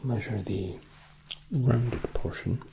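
A man in his thirties doing a soft ASMR roleplay, hushed, polite and hesitant, close to the microphone. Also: medium pitch, takes it slowly, gravelly, background noise. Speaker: ASMR roleplay performer, playing a royal sculptor.

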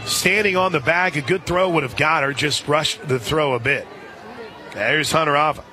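Male sports radio play-by-play voice for softball, conversational, slightly high, over music and crowd at an outdoor stadium. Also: normal speed. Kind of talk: live softball radio commentary.

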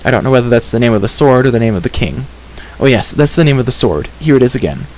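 A man's voice: medium pitch, animated, quick pace, natural voice, rough recording. A man voicing a headstrong, impatient girl in an emphatic, chattering way for a storybook reading. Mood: intent. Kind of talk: audiobook character reading.

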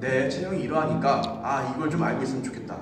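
A man's voice: mid-range voice, quick pace, far from the mic, over loud music. Man coaching in an echoey gym. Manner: animated, demonstrating with gestures while talking. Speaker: fitness coach. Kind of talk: explanatory exercise lesson. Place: large indoor gym. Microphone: far camera.

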